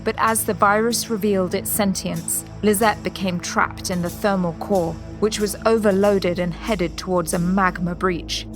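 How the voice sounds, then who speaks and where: A woman's voice: medium pitch, steady tone, normal speed, hardly any pauses. Solemn woman narrator over prominent music. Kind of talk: scripted dramatic audio drama narration.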